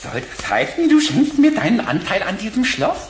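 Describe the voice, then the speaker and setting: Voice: medium pitch, sing-song, moderate pace, close to an everyday voice. An actor playing a cheeky, bold male rabbit who wisecracks and haggles in a children's cartoon audio play. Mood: sly, cheeky. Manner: brash, wisecracking. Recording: roomy, faint background noise.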